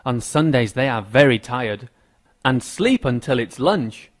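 A man's voice: medium pitch, animated, measured pace. A man with an American accent reading a scripted rhyming story for children. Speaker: narrator.

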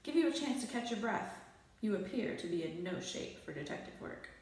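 A woman's voice: mid-range voice, animated, a little fast, darkish tone, far from the mic, lightly acted. Woman as boy detective, storybook, pompous, bossy, lofty declarations, strong room echo. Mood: bold, self-assured. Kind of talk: children's storybook reading. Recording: very echoey room.

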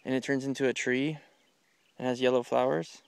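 A man's voice: medium pitch, steady tone, fairly quick, gravelly, clean tone, no echo. A man films his children outdoors in a home garden, asking them plant names and encouraging their answers. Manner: curious, gently quizzing, encouraging. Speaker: parent filming a garden tour. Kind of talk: casual quizzing chat with children. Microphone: handheld camera while walking.

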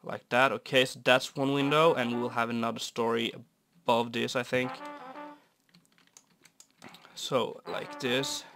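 Young man, raspy from a cold. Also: mid-range voice, little pitch movement, slow, long pauses. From Norway. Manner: rambling, easygoing, many fillers. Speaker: Minecraft builder. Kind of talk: casual gameplay commentary. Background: soft guitar music.

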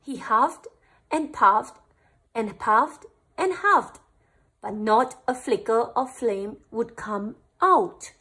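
A woman storyteller reading a picture book aloud for children, with animated, deliberate phrasing. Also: a little high, husky.

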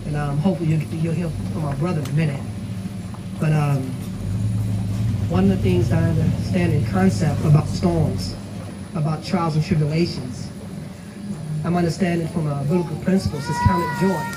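A middle-aged man from New York speaks in a measured, emphatic way, stressing each point as he lists it. His slightly high voice comes from a distant camera and is wrapped in loud outdoor noise. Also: steady tone. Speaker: program director. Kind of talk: motivational speech. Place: outdoor stage under a tent. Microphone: handheld mic, far camera.

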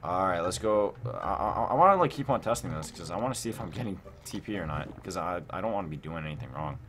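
Low-voiced man commentating on a game. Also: fast talker. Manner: excitable, repetitive, thinking aloud. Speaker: gaming video host. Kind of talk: casual Minecraft let's play commentary. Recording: music behind the voice.

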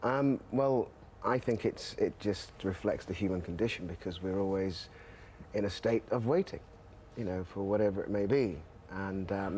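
A man in his forties with a Jamaican accent, an actor talking as a studio guest, thoughtful with pauses and fillers. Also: low-pitched, dark tone, a little hiss or hum. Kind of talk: casual TV interview talk. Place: TV studio sofa.

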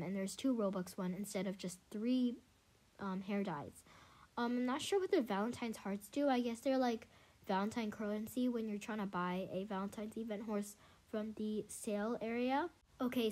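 Congested young woman chatting over gameplay. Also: a little high. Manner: chatty, excitable, many ums. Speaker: gaming channel host. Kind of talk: casual gameplay commentary. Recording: faint music behind. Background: faint game music.

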